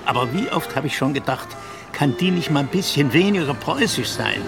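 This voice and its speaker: A chatty, sentimental older husband from Saxony in a radio play, in his sixties. His voice swings lively up and down and has a gravelly edge. Loud music plays under him. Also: a little high, fairly quick. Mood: confiding. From Saxony, Germany. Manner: chatty, confiding. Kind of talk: radio drama acting.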